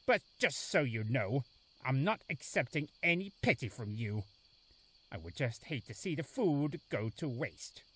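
A man's voice: mid-range voice, lively intonation, unhurried, fairly bright tone, husky. A man voicing a grumpy, aloof and lonely forest beast in a cartoon, curt and brooding. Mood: exasperated. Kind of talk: animated fan drama acting. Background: background music.

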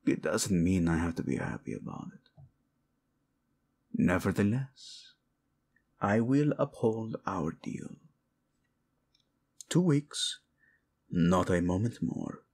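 A man's voice: low-pitched, lively intonation, takes it slowly, long pauses, darkish tone, heavily acted. A man playing a possessive, devoted prince in a romantic audio roleplay, murmuring formal words with Spanish endearments to his wife. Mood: reluctant, firm. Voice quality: husky, gravelly, breathy in places.

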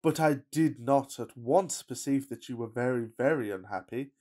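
Man acting a gushing, pompous gentleman suitor. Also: mid-range voice, normal speed, natural voice. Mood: effusive wooing. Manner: effusive, florid, melodramatic. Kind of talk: farcical Victorian radio play acting.

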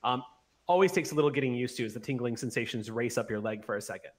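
A man in his forties runs a tabletop roleplay as game master. He speaks at a middling pitch, painting scenes in vivid, conversational detail into a close studio microphone. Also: quick pace. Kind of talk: narrating a tabletop roleplay session. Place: small home room, video call. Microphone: close large studio microphone on an arm.

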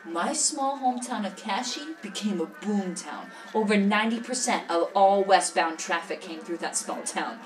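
A woman's voice: medium pitch, lively intonation, normal speed, hardly any pauses, close to an everyday voice. A woman acting the part of a cynical, worldly smuggler who recounts things matter-of-factly in an audio drama interview. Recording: slightly distant, music behind.

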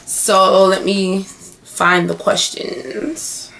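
A young woman vlogging in a small bedroom, hoarse and chatty as she answers tag questions to her webcam. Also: medium pitch, very slow, low-quality recording. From United States. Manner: chatty, animated hand gestures. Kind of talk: casual chat.

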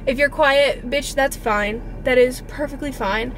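High-pitched young woman vlogging in a car. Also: measured pace. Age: 20s. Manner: earnest, informal, mildly profane. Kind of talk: casual confessional chat to camera. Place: inside a parked car. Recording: car interior with clear background noise.

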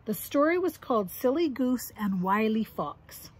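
A middle-aged woman with a husky voice reading a children's picture book aloud expressively, adding little asides for young listeners. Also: a little high, big pitch swings, measured pace. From Canada. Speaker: grandmother reading to grandchildren. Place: outdoors on a deck or yard among trees.

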